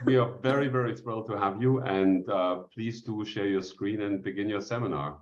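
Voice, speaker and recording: A man's voice: lowish voice, normal speed, muffled recording. A man hosting an online seminar series, introducing a guest speaker in a friendly, enthusiastic way over a video call.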